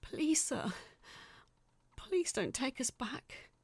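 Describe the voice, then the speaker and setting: A woman's voice: sits high, big pitch swings, extremely slow, a little mellow, husky, no echo, in a whisper, pitched above the performer's own voice, heavily acted. A woman voicing frightened campers in a story, timid and hesitant, with a shaky delivery. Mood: pleading, sobbing. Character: frightened, shaky human campers pleading with a giant.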